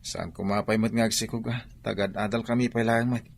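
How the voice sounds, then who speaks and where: Careless young student boyfriend. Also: male voice, on the low side, fairly even intonation, a little fast, vocal fry. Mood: carefree. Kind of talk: Ilocano radio drama acting.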